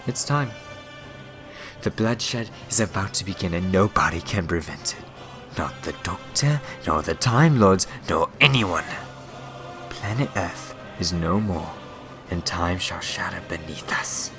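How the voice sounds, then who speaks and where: Man playing a low-voiced, smug, meddling schemer. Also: measured pace. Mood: grandly ominous. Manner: teasing, self-satisfied, mock-polite. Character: sly, smug, meddling male alien time-traveller schemer. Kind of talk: sci-fi fan audio drama acting. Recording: loud music behind the voice. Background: dramatic music.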